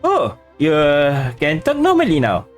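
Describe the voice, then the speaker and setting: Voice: medium pitch, huge pitch swings, unhurried. Streamer with a widely swinging voice. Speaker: game streamer. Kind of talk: casual livestream, reading game lines aloud and reacting. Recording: game music behind the voice.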